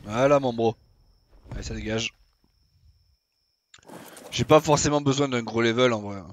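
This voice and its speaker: A man's voice: medium pitch, unhurried. Chatty young man narrating his gameplay. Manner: chatty, swears casually, talks to himself while playing. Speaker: gaming YouTuber. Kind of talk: casual gameplay commentary.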